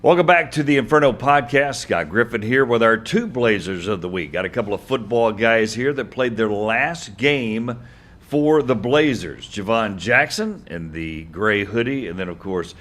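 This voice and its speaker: A man's voice: mid-range voice, expressive, moderate pace, fairly bright tone. A man hosting a sports podcast, talking and interviewing in a conversational way.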